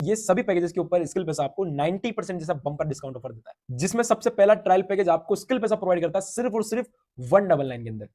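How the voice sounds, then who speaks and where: A man giving an energetic sales pitch for a referral income scheme, piling on hyped repetition.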